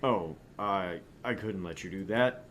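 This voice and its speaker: A man's voice: deep voice, measured pace, fairly bright tone. A man voicing a polite, reserved male bar patron with a courteous, hesitant and formal way of talking.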